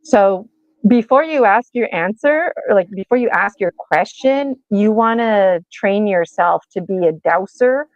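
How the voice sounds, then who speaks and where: A woman's voice: mid-range voice, expressive, unhurried, no echo, muffled recording. A woman in her forties giving a casual oracle card reading, chatty and rambling, laughing often and acting out little voices. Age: 40s.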